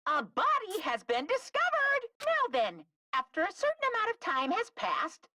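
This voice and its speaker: A woman's voice: very high voice, huge pitch swings, moderate pace, sharp tone, no echo, exaggerated voice. A woman voicing a gleefully sinister mascot who makes theatrical announcements in an anime video game. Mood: gleeful announcing.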